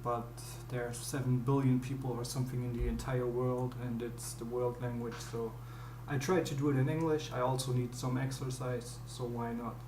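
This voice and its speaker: A young man with a German accent, a non-native English speaker, explaining step by step in a halting, word-searching way how he builds a beat at his computer. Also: mid-range voice, flat delivery, background noise. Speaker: music producer. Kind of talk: screen-recorded beatmaking tutorial. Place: dim small room at home. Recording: roomy with piano playing behind.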